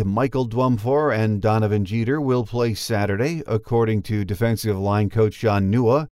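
Low-voiced older man hosting sports podcast. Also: a little mellow, smooth, no echo, clean studio sound. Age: 60s or older. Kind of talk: sports talk and scripted game notes.

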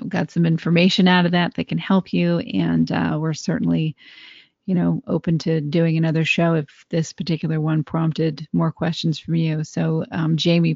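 Husky, gravelly woman podcast host. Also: deep voice, darkish tone, no echo, lo-fi sound. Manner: affirming, brisk wrap-ups with frequent agreement. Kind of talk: conversational interview hosting.

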